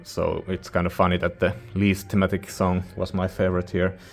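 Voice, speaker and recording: Man, non-native English speaker, deep voice, flat delivery, casual hesitant reviewer, faint music behind. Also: moderate pace, vocal fry. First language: not English. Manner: hesitant, informal, searching for words. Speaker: music reviewer, channel host. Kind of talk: casual rambling album review.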